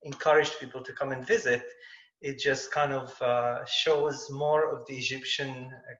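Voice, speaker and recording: A man's voice: medium pitch, fairly bright tone. Man from Jerusalem, tour guide guest, easy reflective talk, echoey dull call audio. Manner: conversational, reflective. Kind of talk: panel discussion answer.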